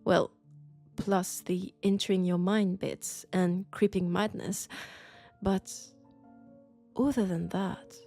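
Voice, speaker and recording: A woman with a French accent playing an elegant, condescending vampire scholar in an intimate roleplay audio drama, speaking deliberately and seductively. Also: mid-range voice, fairly even intonation, creaky. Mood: calm, explaining. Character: elegant, sophisticated, coolly curious female vampire scholar. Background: faint dark ambient music.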